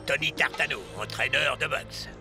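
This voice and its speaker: An actor playing a flattering, chummy boxing trainer and recruiter in a cartoon. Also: male voice, mid-range voice, normal speed, music in the background, lightly acted. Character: smooth-talking boxing trainer recruiting for his boss. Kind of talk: animated cartoon voice acting.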